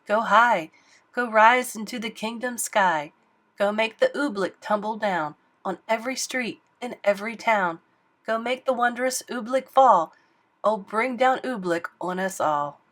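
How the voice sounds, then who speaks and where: A woman's voice: on the high side, fairly bright tone. A woman voicing a group of scheming magicians chanting a rhyming spell in a rhythmic sing-song.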